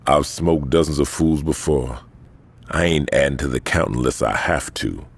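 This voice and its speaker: An actor playing a proud, commanding veteran gang boss with an American accent in a video game cutscene. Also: male voice, normal speed, full character voice. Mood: unbothered. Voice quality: gravelly, very deep. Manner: authoritative, menacing, street talk.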